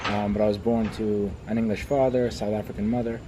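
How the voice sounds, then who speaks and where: A man's voice: lowish voice, quick pace, background noise. A young man from Hong Kong, a former travel agent, telling travel stories in a casual interview. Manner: relaxed storyteller, animated when telling anecdotes.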